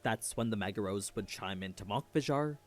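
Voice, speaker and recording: A man's voice: lowish voice, a little fast. A man delivers scripted explanatory narration as the narrator of a lore video. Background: background music.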